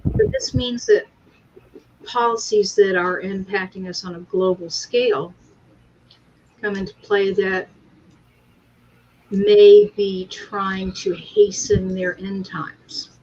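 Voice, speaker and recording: A woman's voice: medium pitch, animated, slow pace, long pauses, a little mellow. A middle-aged or older woman co-hosting a casual spiritual discussion, recorded with lo-fi sound. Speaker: co-host of a spiritual discussion show. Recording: dull lo-fi sound, background noise.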